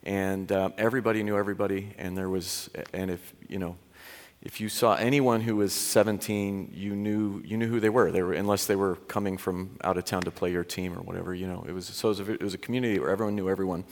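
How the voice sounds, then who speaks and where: Middle-aged man, low voice, candid and self-deprecating testimony, long hall reverb. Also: steady tone, fast. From US Pacific Northwest. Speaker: college president. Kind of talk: personal testimony.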